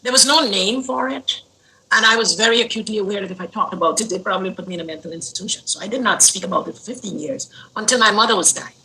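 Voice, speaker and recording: An older woman from Guyana, an interview guest telling a personal story in an earnest, unhurried way that lingers on words. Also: mid-range voice, bright, forceful tone, a little hiss or hum, rough recording.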